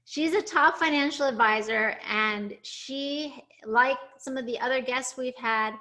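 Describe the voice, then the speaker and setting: A woman's voice: high voice, unhurried, bright, forceful tone. A woman hosting a webinar, introducing her guest in an upbeat, effusive way over a video call. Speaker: webinar host.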